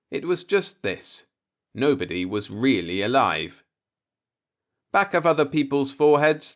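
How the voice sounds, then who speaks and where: A man's voice: mid-range voice, a bit bright. A man voicing a cynical, musing older working-class man, a self-taught professor, in a folksy, rhetorical audiobook monologue.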